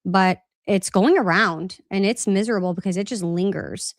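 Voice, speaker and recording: A woman hosts a live-stream chat, moving casually between her own thoughts and viewer comments in a loose, rambling way. She is a little congested and has a slight cough. Her voice sits at a medium pitch and is recorded dry, with little room sound. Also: normal speed, dry recording. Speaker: podcast host.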